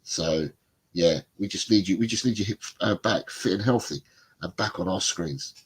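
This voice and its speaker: A middle-aged man, a little bunged up, reads viewer comments and chats on a fan livestream. His voice sits low and sounds husky. He rambles easily and laughs along. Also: normal speed. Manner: chatty, rambling, laughs often. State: congested, bunged up. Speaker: co-host of a football fan livestream. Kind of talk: casual chat reading out viewer comments.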